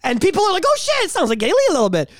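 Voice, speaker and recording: A young man co-hosting a casual podcast, telling animated stories, swearing freely and humming bits of songs. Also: extremely high pitch, racing, no room sound, studio quality. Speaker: podcast co-host and musician.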